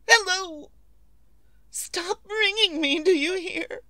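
An actress playing a nervous, imperious, querulous wife in 1940s radio suspense style, melodramatic and demanding. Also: female voice, very high voice, bright, forceful tone, no room sound, heavily acted, rough recording. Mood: frantic, shrill. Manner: melodramatic, demanding, drawn-out delivery.

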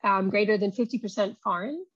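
A woman's voice: medium pitch, brisk, no room sound, lo-fi sound. A woman lecturer giving a conversational academic talk over slides, explaining with frequent ums and you knows. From not South African. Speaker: university oceanography lecturer. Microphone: video call.

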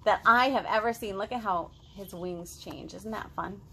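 A woman in her thirties hosting a children's storytime, reading and chatting to young kids in an expressive, sing-song, exaggerated way. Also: medium pitch, moderate pace, slightly rough, low-quality recording. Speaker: children's librarian, storytime host. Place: living room at home, indoors.

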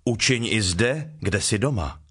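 An actor playing a calm, authoritative holy teacher in a dramatized Bible reading, speaking in a measured, solemn, declamatory way. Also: male voice, fairly low pitch, husky. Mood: grave, earnest. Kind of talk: dramatized Bible audio acting.

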